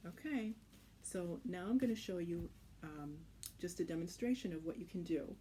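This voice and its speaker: A woman's voice: fairly low pitch, expressive, normal speed, mellow. A woman instructor casually explaining a children's craft step by step in an informal, reassuring way. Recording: clearly noisy home recording.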